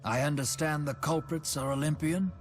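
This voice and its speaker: An actor playing a composed elderly statesman in a video game, with formal, genteel, measured speech. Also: on the high side, fairly even intonation, lightly acted. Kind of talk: video game cutscene acting. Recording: music behind the voice.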